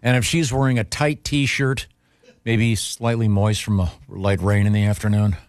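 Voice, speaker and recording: A man hosting a radio talk show, bantering casually and reading out listener questions in a halting, teasing way. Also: on the low side, level tone, no room sound, studio quality.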